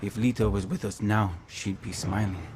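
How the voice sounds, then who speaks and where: An actor playing a steady male guerrilla comrade in a video game. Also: fairly low pitch, moderate pace, close to an everyday voice. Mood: calm, wistful. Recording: loud music behind.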